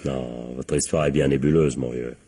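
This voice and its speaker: An actor playing a sardonic, relentless police captain interrogating people in a French radio crime play, pressing and authoritative. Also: male voice, extremely low pitch, steady tone, moderate pace, dark tone, slightly rough. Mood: accusing, relentless.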